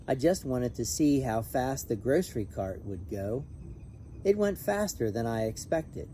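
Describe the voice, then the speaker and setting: A man reading a children's picture book aloud in an expressive, animated, emphatic way. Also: mid-range voice, unhurried, slight background noise. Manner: animated, emphatic on repeated refrain. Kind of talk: expressive storybook read-aloud with brief asides.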